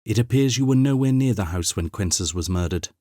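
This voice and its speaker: An earnest scholarly young woman, as a male narrator plays her, her voice lifted a little above his usual pitch. She explains patiently and evenly, read close and dry. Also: expressive, brisk, mellow, no echo. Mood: firm.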